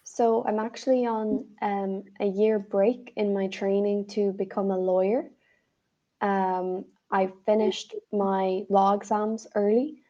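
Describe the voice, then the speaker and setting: A woman's voice: medium pitch, warm tone, lo-fi sound. A young woman with an Irish accent, chatting as an online English tutor with friendly, encouraging replies. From Ireland. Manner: friendly, encouraging, quick affirmations. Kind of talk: casual video-call conversation lesson.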